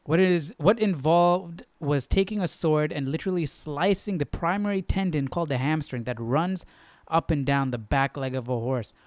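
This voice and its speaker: A man's voice: fairly high pitch, darkish tone, dry recording. Young male streamer, clean slightly raised voice, informal musing commentary, very dry recording. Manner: informal, halting, musing aloud. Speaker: host. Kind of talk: casual live Bible study commentary.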